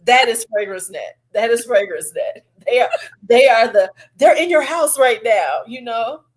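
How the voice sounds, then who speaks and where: Chatty Midwestern woman, fairly high voice. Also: lively intonation, unhurried. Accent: Chicago, Midwestern. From American Midwest. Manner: chatty, rambling, laughs often. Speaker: livestream host. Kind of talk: casual live chat.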